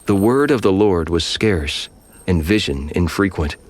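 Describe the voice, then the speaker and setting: Very deep-voiced male narrator. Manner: steady, measured storytelling. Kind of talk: scripted Bible narration.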